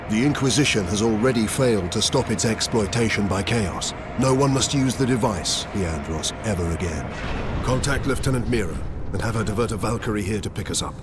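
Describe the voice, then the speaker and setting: An actor playing a resolute space marine captain in a video game, commanding and grave, with clipped delivery. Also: male voice, lowish voice, lively intonation, a little fast, heavy background noise, close to an everyday voice. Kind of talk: cinematic video game acting.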